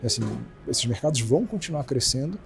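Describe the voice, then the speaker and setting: A Brazilian man, a company president, explaining fast and animatedly with many restarts in a casual business interview. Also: medium pitch, animated, faint music behind. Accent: Brazilian Portuguese.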